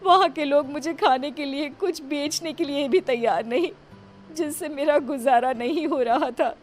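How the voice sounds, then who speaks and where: A woman's voice: high-pitched, normal speed, soft background music, close to an everyday voice. A woman playing a sorrowful, helpless young village widow in an audio story. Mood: tearful, pleading. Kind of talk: scripted audio story acting.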